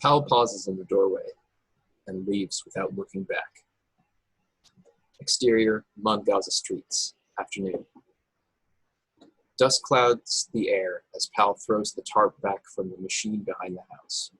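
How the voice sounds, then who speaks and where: Low, husky male narrator, very dry sound. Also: slow, long pauses, fairly bright tone, no echo, low-quality recording. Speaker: narrator reading stage directions. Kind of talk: scripted narration in a live table read. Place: remote video call, dry sound.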